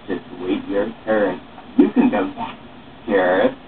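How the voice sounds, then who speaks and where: A young man doing an animated dramatic reading of dialogue, playing all the parts himself in a halting delivery. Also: on the low side. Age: young adult. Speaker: author reading own script aloud. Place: small room at home, seated. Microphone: far camera or webcam microphone. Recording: muffled phone-like webcam sound, distant, noisy.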